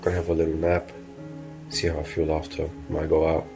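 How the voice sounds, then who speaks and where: A man's voice: very deep voice, monotone, brisk, vocal fry, over music. A man with a blocked nose talking casually to camera as a motorcycle travel vlogger, tired and laid-back with lots of fillers. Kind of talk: casual vlog talk to camera.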